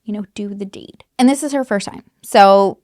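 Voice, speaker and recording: A woman's voice: mid-range voice, normal speed, a little mellow, no echo, studio quality. A woman recounting a true crime case in a casual, chatty way, full of fillers and the odd swear word. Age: adult mother.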